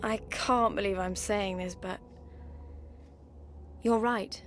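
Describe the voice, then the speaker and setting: An actress playing a bitter, sarcastic immortal sister bound as a statue, in a video game. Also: female voice, medium pitch, fairly even intonation, takes it slowly, background noise, close to an everyday voice. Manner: sarcastic, cutting. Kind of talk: video game character acting.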